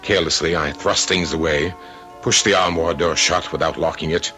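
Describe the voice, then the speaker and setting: An actor playing a haunted, self-doubting former soldier in a radio mystery, brooding and dramatic in delivery. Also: male voice, rumbling low, steady tone, moderate pace, over loud music. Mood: brooding dread. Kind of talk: first-person radio drama narration.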